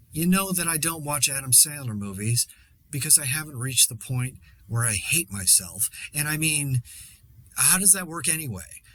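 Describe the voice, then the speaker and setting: A man's voice: a little high, sharp tone, full character voice. A man playing an exasperated podcaster in a zombie outbreak, delivering a dry, sarcastic, rambling solo monologue in a conversational way. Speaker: podcast host.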